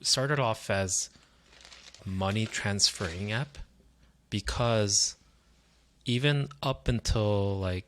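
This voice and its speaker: Co-host, male, from Korea, low voice, relaxed explainer. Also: takes it slowly, long pauses. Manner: relaxed, explanatory, frequent backchannel murmurs. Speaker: podcast co-host. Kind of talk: casual podcast chat.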